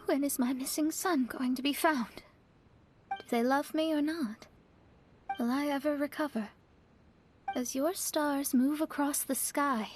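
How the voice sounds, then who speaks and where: A woman voicing a young astrologist in a game, earnest, proud and wistful, with measured, reflective delivery. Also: high-pitched, a little mellow. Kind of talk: scripted game voice acting.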